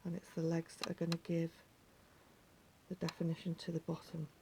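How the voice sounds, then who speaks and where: A woman with a slightly croaky voice, patiently talking viewers through a craft step by step and often dropping to a near whisper. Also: lowish voice, monotone, fairly quick, warm tone, a little hiss or hum. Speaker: needle felting teacher. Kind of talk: hands-on craft tutorial.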